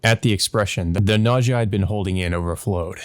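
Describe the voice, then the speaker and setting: A man's voice: low-pitched, fairly quick. A man narrating a visual novel as a let's play host, reading aloud and adding hesitant commentary of his own.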